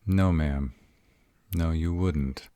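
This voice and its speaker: A man's voice: extremely low pitch, very slow, warm tone, no echo, heavily acted. A man playing a sarcastic, insecure young vampire in an audio roleplay, with a drawling manner. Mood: meek.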